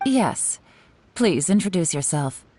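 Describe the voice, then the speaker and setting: Cool, low-voiced woman in command. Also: sing-song, mellow, slight background noise. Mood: businesslike. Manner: brisk authority, composed, teasing. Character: cool, confident, wry female assessment officer in charge. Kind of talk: game voice acting.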